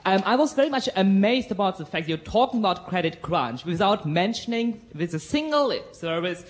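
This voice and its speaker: Audience speaker, German accent, halting, searching for words. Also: mid-range voice, sing-song, a little hiss or hum. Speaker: audience member asking a question. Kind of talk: earnest audience comment.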